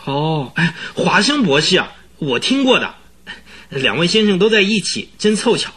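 Excitable, high-pitched young horse trainer. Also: male voice, normal speed, breathy edge, full character voice. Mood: surprised, chatty. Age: young adult. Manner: agitated, exclaiming, stammering. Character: excitable, pleading horse trainer in his mid-twenties. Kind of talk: radio drama dialogue.

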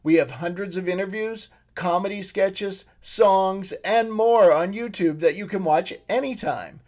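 A man's voice: sits high, a little slow, a little mellow. A man who co-hosts and produces, reading a scripted introduction to an interview show. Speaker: producer and co-host.

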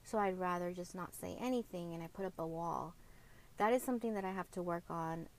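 A woman hosting a podcast, speaking openly and reflectively about co-parenting, often pausing and correcting herself. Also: fairly low pitch. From Mexican heritage, grew up speaking Spanish at home. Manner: reflective and open, with frequent fillers and self-corrections. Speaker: podcast host. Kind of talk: candid personal podcast monologue.

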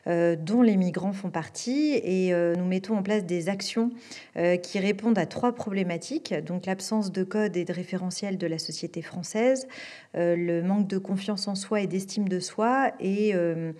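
A woman's voice: medium pitch, moderate pace, mellow. A woman who coordinates a cultural program, explaining its aims with frequent hesitant fillers. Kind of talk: interview explanation.

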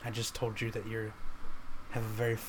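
A man's voice: lowish voice, completely flat delivery, brisk, background noise. Joking man on a casual podcast. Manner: joking, laughs often. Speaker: podcast co-host. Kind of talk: casual chat.

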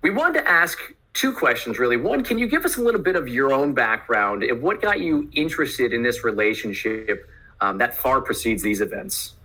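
A male podcast co-host with a very bright, sharp tone. He reads the guest's introduction smoothly, then asks loose questions with a few ums and uhs. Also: medium pitch, lively intonation, normal speed, rough recording. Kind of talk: podcast hosting.

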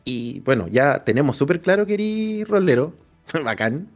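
A man's voice: fairly high pitch, very animated, a little slow. A man co-hosting a podcast, putting questions to a guest in casual chat. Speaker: podcast co-host. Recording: faint music behind. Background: faint music.

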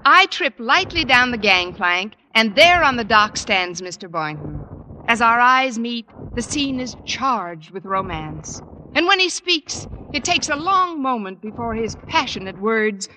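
A wry schoolteacher from a vintage radio comedy, a woman with a highish, bright voice that swings freely through sardonic lines. The old recording has faint noise. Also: sing-song, a little slow, heavily acted, poor audio. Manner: dry, sardonic, crisp comic timing. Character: wry, wisecracking female high school English teacher. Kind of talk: 1940s-50s radio sitcom acting.

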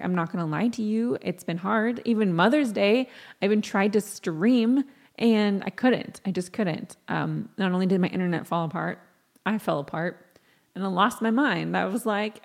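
A woman podcast host chatting solo in a casual, rambling, slangy and self-deprecating way, with a scratchy, croaky voice worn out from talking and lack of sleep. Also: medium pitch. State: sleep-deprived, voice tired from talking.

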